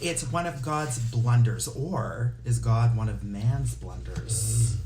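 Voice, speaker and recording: A man's voice: mid-range voice, takes it slowly, music in the background, natural voice. A man playing a catty, theatrical, fashion-critical radio station staffer in a comedy script table read. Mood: teasing. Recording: roomy echo with clear background noise.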